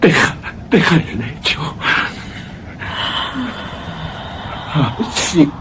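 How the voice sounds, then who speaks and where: An actor playing a terrified, anguished widower in a gothic horror radio drama, speaking theatrically in halting, repeated phrases. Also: male voice, on the high side, animated, very noisy. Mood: astonished, fearful. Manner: halting, theatrical, repeats words, breaks into hushed gasps. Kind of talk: Spanish-language horror radio drama monologue.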